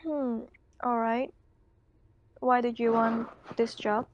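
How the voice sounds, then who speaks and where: A woman's voice: on the high side, flat delivery, slow, highs cut off. A woman playing a tabletop role-playing game, speaking in character and chatting at the table with hesitant phrasing. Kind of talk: in-character role-play dialogue and table chat.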